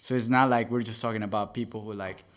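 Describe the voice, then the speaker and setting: A young man from El Salvador speaks as a podcast guest in a lowish voice. He tells his story earnestly and casually, and he stops often to restart and correct himself. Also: steady tone, fast talker. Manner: earnest, with many fillers and self-corrections.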